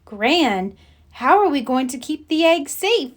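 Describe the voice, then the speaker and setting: A woman's voice: high-pitched, very animated, slow pace, pitched above the performer's own voice, full character voice. A woman as a worried, pleading little girl. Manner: anxious, pleading. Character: worried young girl, anxious granddaughter who cares deeply. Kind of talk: storybook read-aloud character voice.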